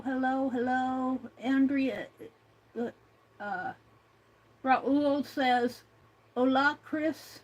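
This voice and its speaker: An older woman hosting an art livestream, chatting slowly and reading out viewer comments, with many fillers and restarts. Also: high-pitched, poor audio. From United States.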